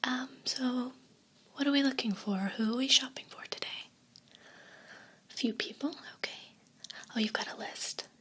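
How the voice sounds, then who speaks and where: A woman gently whispering an ASMR role play as an attentive, unhurried shop clerk, close to a binaural mic. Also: sits high. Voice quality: breathy whisper. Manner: gentle, unhurried, attentive customer-service patter. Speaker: ASMR performer playing a herb shop clerk. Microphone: close binaural microphone. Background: crinkling and rustling paper sounds.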